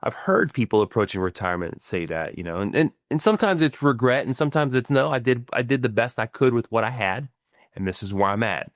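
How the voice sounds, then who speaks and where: A man's voice: medium pitch, expressive, fairly quick, no room sound, studio quality. A middle-aged man co-hosting a podcast as a financial planner, explaining ideas in casual chat with many restarts and "right?" tags. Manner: stumbling restarts, frequent tag questions.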